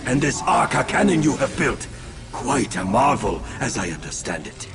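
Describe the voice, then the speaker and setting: A stately, gracious alien dignitary with a gravelly edge and a lively, rising and falling delivery. He sounds lofty and formal, a game cutscene voice under very loud music. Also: medium pitch, moderate pace. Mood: gracious, approving. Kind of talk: video game cutscene acting.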